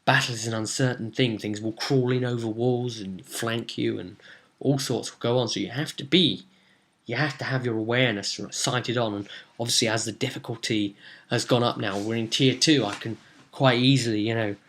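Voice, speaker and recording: A man, a game master telling the story of a role-play session in a casual, animated way. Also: medium pitch, normal speed. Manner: animated storytelling, acting out players' reactions. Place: small room at home, indoors.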